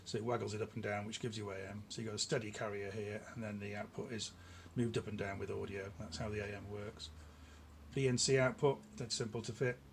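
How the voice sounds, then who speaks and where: Middle aged guy showing off his homemade gear, casual and a bit halting, bit of noise in the workshop. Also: fairly low pitch, little pitch movement. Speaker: electronics builder presenting their own product. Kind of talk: casual product demonstration to camera. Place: small cluttered indoor workshop. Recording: clear background noise in a room.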